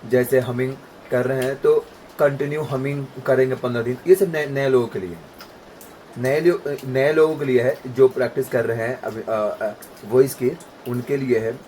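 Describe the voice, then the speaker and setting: A man's voice: mid-range voice, steady tone, normal speed, darkish tone, slight background noise, low-quality recording. A man giving an informal voice practice lesson, explaining with everyday examples and checking in with the listener. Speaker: voice practice coach. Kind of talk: informal instructional talk with demonstrations.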